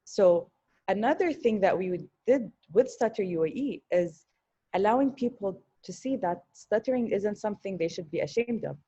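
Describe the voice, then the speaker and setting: A woman on an online panel, speaking in an earnest, thoughtful way with frequent pauses and repeated words. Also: mid-range voice, expressive, dark tone, husky, lo-fi sound. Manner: thoughtful, earnest, with hesitations and repetitions. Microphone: video call.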